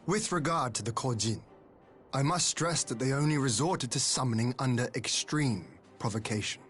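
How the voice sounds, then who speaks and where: Proud game lord, played by a man: stern, dignified and guarded, medium-pitched male voice, formal blunt phrasing, faint music behind. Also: normal speed, a bit bright, lightly acted. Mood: firm, dignified. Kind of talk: scripted video game voice acting.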